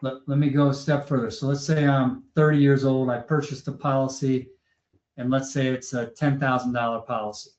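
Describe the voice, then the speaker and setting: A man moderating an online panel discussion. He talks in a relaxed way, thinking aloud with fillers and repeats. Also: medium pitch, a little fast. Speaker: webinar moderator. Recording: roomy, dull lo-fi audio.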